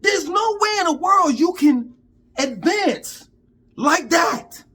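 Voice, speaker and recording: A man's voice: very high voice, animated, slow pace, pushed and bright. A man in his fifties giving an emphatic, profane, preachy rant to camera in street talk. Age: 50s. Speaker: vlogger.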